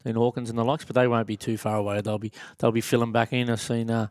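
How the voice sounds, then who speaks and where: Matey Australian podcast host. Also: male voice, medium pitch, level tone, quick pace, a little mellow, no echo, studio quality. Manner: matey, says mate constantly. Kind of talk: casual podcast chat.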